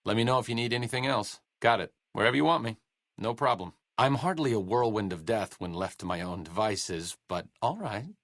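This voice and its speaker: A man's voice: on the low side, fairly quick, a bit bright, dry recording. A man voicing a sardonic middle-aged male doctor in a video game, delivering companion lines with dry, sarcastic wit. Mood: wry. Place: studio booth. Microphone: close studio mic.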